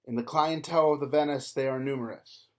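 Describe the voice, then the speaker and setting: A man reading an audiobook aloud in a measured narrating voice, with emphatic lifts. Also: mid-range voice, fairly even intonation. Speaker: audiobook reader.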